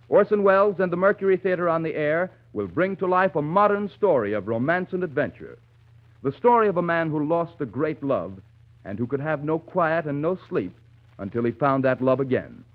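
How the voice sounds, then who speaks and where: High-pitched vintage American radio announcer man. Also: big pitch swings, moderate pace. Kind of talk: formal 1930s radio announcing, scripted. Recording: thin, narrow vintage 1930s radio broadcast.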